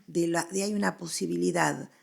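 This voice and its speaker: A woman with an Argentine accent talks through history as an interviewed expert. Her voice sits a little low and carries a clear creak. She explains with animation, circling back on phrases to stress them. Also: fairly even intonation, normal speed. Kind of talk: conversational scholarly interview.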